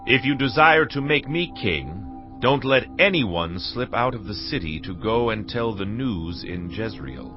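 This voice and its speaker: A man voicing a stern, defiant army commander who speaks deliberately. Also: on the low side, lively intonation. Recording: dull lo-fi audio with music.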